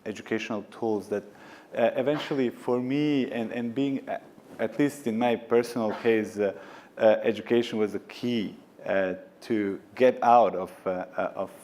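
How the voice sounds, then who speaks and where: A man's voice: fairly low pitch, normal speed, darkish tone, slight background noise. A man from the former Yugoslavia speaking on a conference panel, hesitant, with many fillers and restarts. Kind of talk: panel discussion. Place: conference stage.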